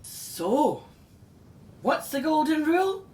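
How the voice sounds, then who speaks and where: A woman's voice: high-pitched, very animated, slow pace, dark tone, exaggerated voice. A woman playing an older, gracious, commanding in a radio drama. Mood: brisk, expectant. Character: seasoned woman Egyptologist, gracious, commanding team leader.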